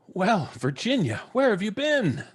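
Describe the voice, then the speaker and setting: A man's voice: high-pitched, very animated, measured pace, slightly rough, heavily acted. A middle-aged man playing a concerned, authoritative father in an old-time radio drama. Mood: stern concern.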